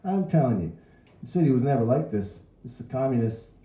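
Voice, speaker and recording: A man voicing a feisty, defiant old man, loudly protesting. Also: mid-range voice, animated, fairly quick, pitched above the performer's own voice, lightly acted. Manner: loud, protesting. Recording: roomy echo.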